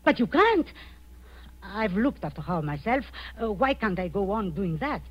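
Sinister, commanding aunt, very expressive pitch, theatrical 1940s radio villainy, muffled noisy old recording. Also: female voice, normal speed. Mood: uneasy. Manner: theatrical, commanding, falsely genteel. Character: towering, sinister, domineering aunt and captor.

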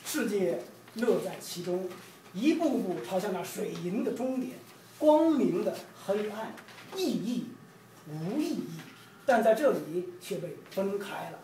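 A middle-aged man from China reads his own poetry in a measured, emphatic, declamatory way. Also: high voice, big pitch swings, warm tone. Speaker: poet reading own work. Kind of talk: poetry reading. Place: room with large windows, indoors. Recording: distant roomy event recording, background noise.

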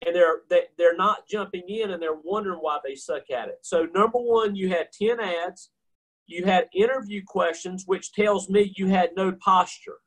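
A man's voice: high voice, moderate pace, fairly bright tone, poor audio. A man in his forties hosting a video call, making blunt, emphatic coaching points from a room at home. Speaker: host. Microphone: video call laptop mic.

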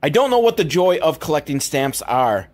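A man's voice: fairly high pitch, big pitch swings, moderate pace, a little mellow. A man hosting a comedy podcast, recapping films in a casual, rambling, sweary, mock-exasperated way. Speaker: podcast host.

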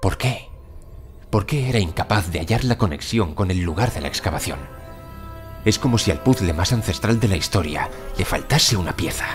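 A deep-voiced, obsessed archaeologist in an audio drama. He is weary and brooding, with dramatic delivery. Music plays clearly behind him. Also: lively intonation, normal speed. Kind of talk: Spanish audio drama acting and narration.

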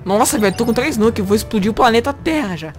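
A young man with a Brazilian accent, casually commentating a video game, joking, excitable and swearing a lot. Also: high-pitched, quick pace. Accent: Brazilian Portuguese. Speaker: host. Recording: game music behind.

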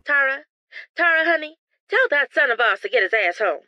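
A woman playing a worried, bossy mother with a brisk, insistent manner in a comic audio drama. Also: sits high, lively intonation, slight background noise. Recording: dull lo-fi sound.

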